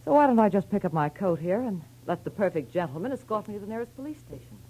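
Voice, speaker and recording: Icy, glamorous American wife in 1940s radio noir, clipped, scornful, dangerous. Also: female voice, mid-range voice, very animated, quick pace, warm tone, husky, low-quality recording. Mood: cool, defiant. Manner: curt, scornful, threatening. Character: cold, glamorous, hard-edged femme fatale wife.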